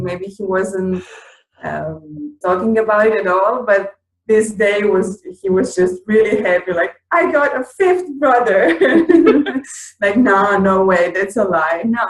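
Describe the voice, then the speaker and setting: A woman from Croatia with a Croatian accent, a teacher adding remarks to a conference talk, speaking haltingly with many fillers. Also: mid-range voice, echoey room, low-quality recording. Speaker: teacher and co-presenter.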